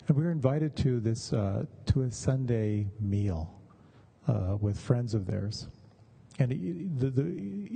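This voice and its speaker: A middle-aged or older man giving a conversational meditation talk with personal stories, speaking in a measured, halting way with pauses. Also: fairly low pitch, gravelly, dark tone, breathy edge.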